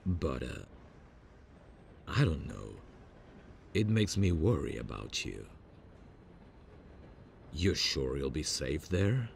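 A man playing a worried, protective big brother in an audio roleplay, speaking haltingly. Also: a bass voice, sing-song, vocal fry, slight background noise, heavily acted. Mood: worried, caring. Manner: hesitant, halting with many pauses.

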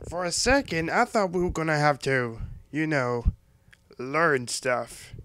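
A man voicing a dim, cheerful young cartoon unicorn pony with a goofy, slow-witted delivery. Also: on the high side, fairly bright tone, dry recording, heavily acted.

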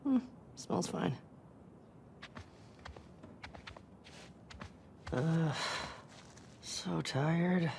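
A woman's voice: low-pitched, very slow, gravelly, darkish tone, slight background noise, exaggerated voice. An actress playing a guarded, sardonic young woman survivor in a naturalistic video game, speaking in a casual, dry, sweary mumble. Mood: sleepy, muttering.

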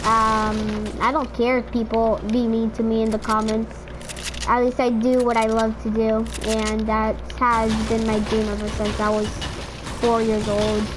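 High-voiced rambling gamer woman. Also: monotone, measured pace. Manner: rambling, filler-heavy, chatty. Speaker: streamer playing in a squad. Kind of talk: casual gaming chat. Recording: noisy game audio behind.

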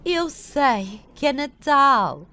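A woman with a European Portuguese accent voicing a selfish, haughty young theatre star in an animated film dub. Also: sits high, very slow, heavily acted. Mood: scornful, imperious. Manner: haughty, commanding. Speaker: fan dub voice actor.

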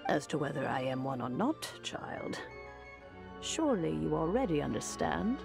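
A woman playing a kindly, wise old granny in a video game, speaking in a measured, gentle, deliberate way. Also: sits low, very animated, gravelly, over music, full character voice. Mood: grave, patient.

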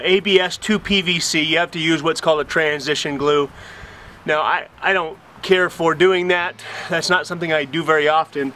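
Higher-pitched plainspoken man outdoors. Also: bright, forceful tone. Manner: plainspoken, matter-of-fact. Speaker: tradesman host explaining plumbing work. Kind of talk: casual instructional talk to camera. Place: outdoors beside a house at a dig site. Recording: outdoor noise, clicks.

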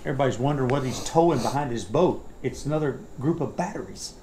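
An older man joining a casual fishing seminar, chiming in with quick remarks in a tackle shop. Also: mid-range voice, expressive, dark tone, slight background noise, rough recording. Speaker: seminar guest. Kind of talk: casual chat.

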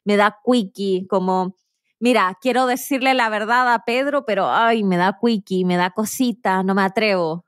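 A woman's voice: mid-range voice, normal speed, no echo, clean studio sound. A woman with a Chilean accent hosting a podcast as a casual, playful conversation, laughing often. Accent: Chilean Spanish. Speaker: podcast host.

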